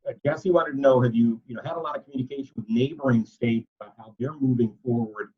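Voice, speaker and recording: Male call moderator, chatty and informal, somewhat husky mid-range voice, dull conference-call sound. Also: medium pitch, fast talker. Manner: casual, chatty, loosely worded. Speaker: moderator relaying reporters' questions. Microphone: video conference call.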